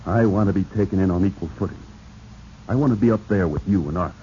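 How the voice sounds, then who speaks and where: An actor playing a devoted, aggrieved husband with an earnest, intense manner in a 1940s radio drama. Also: male voice, sits low, fast talker, dark tone, lightly acted. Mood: aggrieved, firm. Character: devoted, aggrieved husband, pressured company treasurer. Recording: muffled, noisy 1940s radio recording.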